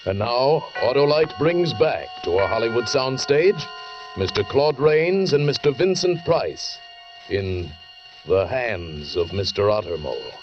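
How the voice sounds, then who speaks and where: A man's voice: medium pitch, expressive. Old time radio announcer guy, dramatic, music behind, muffled sound. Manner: dramatic, measured billing. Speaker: show announcer.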